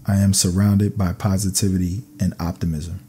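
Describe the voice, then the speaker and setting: Male narrator, low voice, very even intonation, calm guided affirmations, soft ambient noise behind. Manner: calm, soothing, measured delivery. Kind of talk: scripted sleep meditation affirmations.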